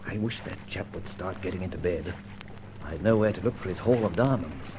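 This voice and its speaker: An actor playing a dashing, self-assured gentleman adventurer in an old-time radio detective serial, jaunty and clipped. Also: sits low, a little fast. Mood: puzzled, muttering. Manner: jaunty, clipped, theatrical exclamations. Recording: noisy old radio recording.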